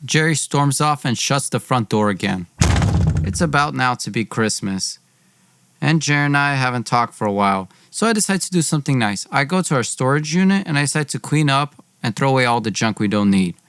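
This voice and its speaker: A man's voice: mid-range voice, lively intonation, fairly quick, a bit bright, smooth. Guy hosting a story podcast, emphatic and kinda aggrieved, telling his own drama. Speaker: podcast host. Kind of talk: dramatic personal storytelling with acted dialogue.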